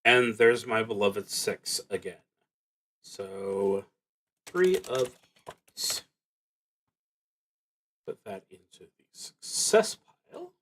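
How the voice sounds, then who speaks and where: A man streaming a solo tabletop roleplaying game, thinking aloud in a halting, musing way with pauses as he goes. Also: lowish voice, very animated, fairly bright tone.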